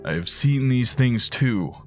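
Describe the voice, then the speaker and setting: An actor playing a calm, wise, noble lord in a fantasy audio drama, measured and reassuring. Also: male voice, medium pitch, soft background music.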